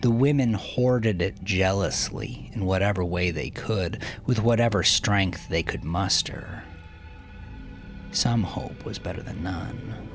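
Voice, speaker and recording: Deep gravelly guy reading a dark story, brooding and theatrical, music behind him. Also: slow pace. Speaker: narrator. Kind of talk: dramatic scripted fiction narration.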